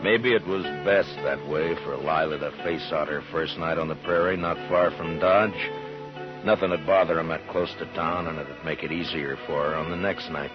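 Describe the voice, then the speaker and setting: Deep-voiced, laconic frontier marshal. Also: male voice, steady tone. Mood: reflective. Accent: American. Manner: measured, dry, authoritative. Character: steady, stern, laconic male frontier marshal. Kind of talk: old-time radio western acting and narration. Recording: muffled old radio recording, music.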